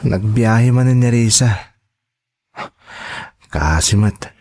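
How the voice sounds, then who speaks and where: Man acting an earnest, low-voiced devoted young husband. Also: lively intonation, very slow, a little mellow, exaggerated voice. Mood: reflective, hopeful. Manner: earnest, reassuring. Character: earnest, reassuring adult son and devoted trusting husband. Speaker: voice actor in a drama. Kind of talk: Ilocano audio drama acting.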